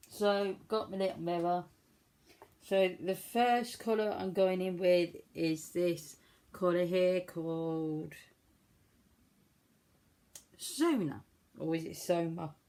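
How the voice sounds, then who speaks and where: Croaky lady doing her eye makeup, lowish voice, chatty and a bit self-mocking. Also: takes it slowly, long pauses, dark tone. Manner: chatty, self-deprecating, talks while working. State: croaky from a cold. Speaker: beauty vlogger. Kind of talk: casual makeup tutorial chat.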